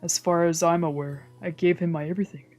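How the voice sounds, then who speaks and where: Hushed, broken mother, put on by a streamer. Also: mid-range voice, steady tone, quick pace, close to an everyday voice. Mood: anguished, regretful. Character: broken, lonely mother confessing in her diary. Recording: music behind the voice.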